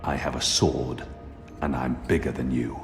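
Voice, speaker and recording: An actor playing a calm, grim male warrior fugitive in a video game, who speaks in short, measured, deadpan lines. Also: extremely low pitch, gravelly, faint music behind. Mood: stern.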